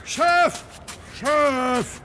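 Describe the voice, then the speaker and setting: A man's voice: extremely high pitch, very slow, noisy background, heavily acted. A man voicing an anxious male underling in a cartoon, speaking in a fretful, hesitant way. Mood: flustered. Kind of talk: French animated cartoon dub.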